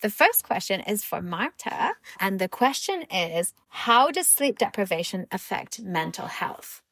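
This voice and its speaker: A woman neuroscientist hosting a sleep science podcast, presenting clearly from a script. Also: mid-range voice, very animated, unhurried, a bit bright, dry recording.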